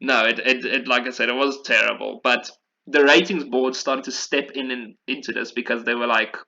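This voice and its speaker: A man's voice: medium pitch, fairly even intonation, normal speed, creaky, bright, forceful tone, lo-fi sound. A man hosting a podcast, talking casually and with opinions about video games, often repeating phrases for emphasis. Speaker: podcast host.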